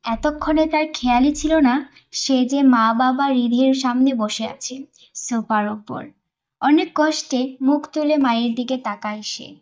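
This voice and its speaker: High-voiced woman narrating a romance. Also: darkish tone, lo-fi sound. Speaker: story narrator. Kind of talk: scripted dramatic romance narration.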